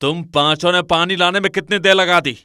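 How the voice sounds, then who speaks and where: A man voicing an irritated, sulky working husband in a story cartoon, complaining loudly. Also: sits high, steady tone, fairly quick, clean tone, dry recording, clean studio sound, voice pushed up. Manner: loud, complaining. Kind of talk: animated moral-story cartoon dialogue.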